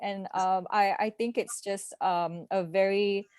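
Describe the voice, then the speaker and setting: A woman's voice: mid-range voice, fairly even intonation, a little slow, a little mellow, smooth, no echo, studio quality. Chatty young woman on a video call. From Malaysia. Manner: chatty, many fillers and little laughs. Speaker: assistant language teacher, session participant. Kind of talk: casual online conference discussion.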